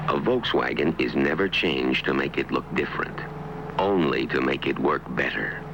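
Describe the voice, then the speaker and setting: A man's voice: very deep voice, normal speed, gravelly. A man narrating a vintage 1960s television commercial as its announcer. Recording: noisy vintage TV commercial audio.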